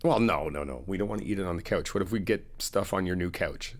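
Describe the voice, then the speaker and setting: A man's voice: low voice, fast talker. A man in a friend roleplay, chatting casually and upbeat to the listener, laughing often and teasing. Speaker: ASMR roleplay creator playing a best friend.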